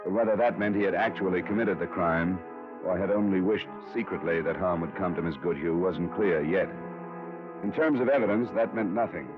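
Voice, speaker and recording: A man's voice: low voice, a little fast, over music, close to an everyday voice. A man playing a dogged, hardboiled insurance investigator and narrator in a 1950s radio crime drama, terse, probing and matter-of-fact. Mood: matter-of-fact narration. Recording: muffled vintage radio broadcast recording.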